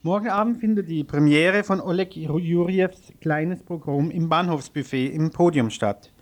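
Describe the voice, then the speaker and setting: A man with a slightly high voice presents the news from a radio studio. He moves between reading out items and loosely improvised, somewhat hesitant links. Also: normal speed. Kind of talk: live studio news overview, partly read out.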